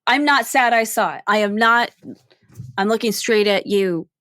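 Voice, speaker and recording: A woman's voice: mid-range voice, moderate pace, a bit bright, no echo, studio quality. A woman co-hosting a podcast in casual, informal chat, with a playful and reactive manner. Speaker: film podcast co-host. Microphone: studio microphone, close.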